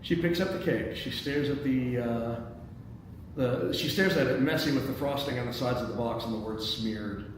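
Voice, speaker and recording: A man's voice: medium pitch, moderate pace. A man hosting a screenplay table read, reading out and commenting, often hesitating and repeating words as he thinks aloud. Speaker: host and stage-direction reader of a screenplay table read. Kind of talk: reading action lines and giving feedback to a group. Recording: very echoey hall, distant mic, noisy.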